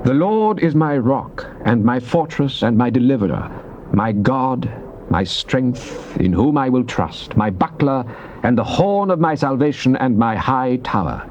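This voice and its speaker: An actor playing a devout, earnest psalmist, reciting scripture in a solemn, measured, declamatory theatrical style. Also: male voice, mid-range voice, lively intonation, darkish tone, lightly acted. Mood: fervent. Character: devout psalmist, earnest man praying to God. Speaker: dramatized scripture reader. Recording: dull noisy cassette tape transfer.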